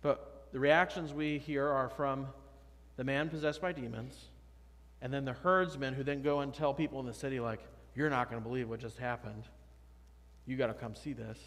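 Pastor in his thirties, casual emphatic preaching, mid-pitched voice, church reverb. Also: steady tone, normal speed, a bit bright. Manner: conversational, emphatic preaching with rhetorical questions. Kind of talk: sermon. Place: church sanctuary, at a pulpit. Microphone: pulpit microphone, far camera.

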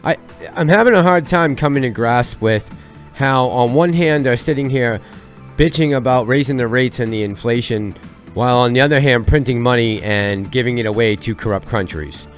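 A man in his thirties rants in a heated, sarcastic tone as the host of his own market commentary channel. His voice sits at an ordinary middle pitch, picked up close on a headset mic. Faint music runs underneath. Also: normal speed. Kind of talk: fired-up commentary vlog. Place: small home studio with colored lights. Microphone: headset with boom mic.

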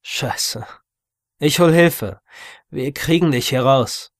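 A man's voice: mid-range voice, slow, no echo, clean studio sound. A male narrator plays an angry, alarmed man trapped in a horror audiobook. He curses and speaks in an agitated way to his friend. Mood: urgent. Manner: agitated, cursing.

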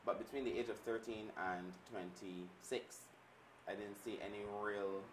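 A man in his thirties from Barbados, a music executive answering interview questions in a measured, reflective way. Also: lowish voice, steady tone, recorded from a distance, slight background noise, low-quality recording. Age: 30s. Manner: measured, reflective storytelling with restarts. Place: indoor studio set with glass table and armchairs.

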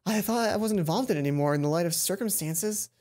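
A young adult man reading a visual novel aloud as a let's player, breaking into dramatic, expressive commentary on a headset mic. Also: high voice. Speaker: let's play host. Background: game music.

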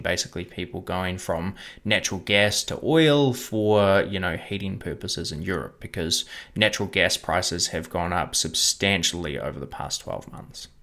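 Young male host, lowish voice, measured explainer read to camera at home. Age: young adult. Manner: measured, explanatory, steady delivery. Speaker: investing channel host. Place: home room with bookshelf, indoors.

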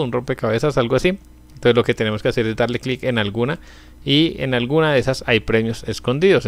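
A young man speaks in a middle, unremarkable pitch, walking through a website step by step. His delivery is casual and explanatory, sprinkled with everyday fillers. He sounds close to the mic in a small room. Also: vocal fry. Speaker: channel host. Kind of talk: screen-recorded tutorial walkthrough.

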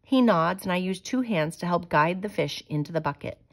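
Low-pitched woman reading a storybook aloud. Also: animated, moderate pace. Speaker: teacher reading a picture book aloud.

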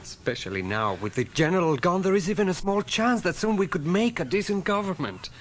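A man's voice: high voice, sing-song, fairly quick, slight background noise. An actor voicing an earnest, idealistic male revolutionary, speaking in formal, stiff English in an early 1980s radio play.

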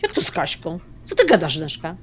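A woman's voice: on the low side, huge pitch swings, fairly quick, a little hiss or hum. A woman playing a stubborn, possessive elderly grandmother in an audio drama, blunt, folksy and grumbling. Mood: defiant.

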